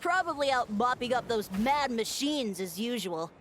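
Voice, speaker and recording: Chatty game kid, fairly high, animated, matter-of-fact. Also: female voice, moderate pace, slight background noise. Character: matter-of-fact young child in a fantasy game.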